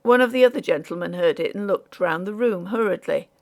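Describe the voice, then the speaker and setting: A woman narrating an audiobook story in a measured, formal storytelling manner. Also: medium pitch, vocal fry.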